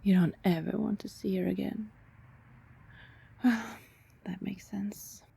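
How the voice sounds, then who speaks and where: A woman playing a lovelorn, anxious vampire neighbor in an ASMR roleplay, pleading haltingly and often slipping into breathy whispers. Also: medium pitch, fairly even intonation, warm tone, heavily acted. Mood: tender, hesitant.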